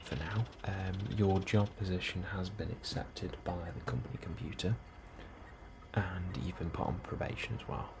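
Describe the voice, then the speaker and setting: A man in a soft, hushed ASMR role-play, speaking calmly and unhurriedly as a helpful colony immigration officer, close to the microphone. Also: deep voice. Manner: hushed, unhurried, sometimes dropping into whisper. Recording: clearly audible background ambience noise.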